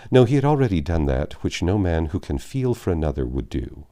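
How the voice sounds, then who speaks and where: A deep, mellow voice for a grave middle-aged English gentleman in a storybook, acted out by a male narrator. He speaks formally and earnestly, halting at times, with sorrow held in check and a lively rise and fall. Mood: bitter, indignant. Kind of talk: dramatic audiobook reading of classic novel.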